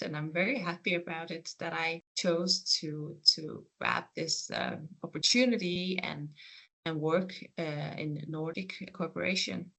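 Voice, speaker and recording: A speaker from the Faroe Islands whose first language is Faroese, with a Faroese accent, answering career interview questions in an earnest, reflective, gently encouraging way. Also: mid-range voice, vocal fry, rough recording. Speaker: programme coordinator and PhD researcher.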